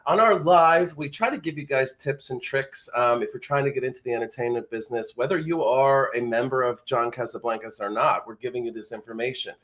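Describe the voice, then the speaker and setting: A man's voice: mid-range voice, a little fast, hardly any pauses, fairly bright tone. A man hosting an informal instructional live show, explaining things directly to the listener as a teacher would. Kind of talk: informal instructional talk to camera.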